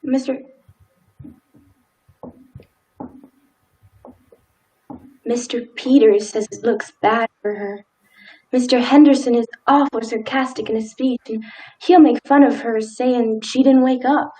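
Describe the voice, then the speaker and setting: A woman's voice: fairly high pitch, fairly even intonation, normal speed, warm tone, close to an everyday voice, low-quality recording. A woman in a radio play acting a timid, dutiful, law-abiding small-town wife with hesitant, folksy old-fashioned speech. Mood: uneasy.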